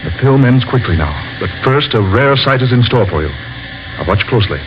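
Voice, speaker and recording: An actor playing a solemn male inventor who explains his discovery in a slow, lecturing way, in a 1940s radio drama. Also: medium pitch, lively intonation, over music, lightly acted. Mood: explaining. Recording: lo-fi old radio recording with faint hiss.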